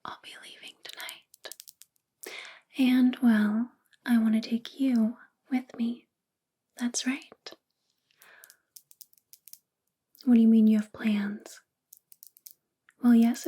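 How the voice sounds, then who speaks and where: A woman playing a possessive, controlling female boss in a soft-spoken, whispery ASMR roleplay, coaxing and intimate. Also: fairly high pitch. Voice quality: breathy, whispery.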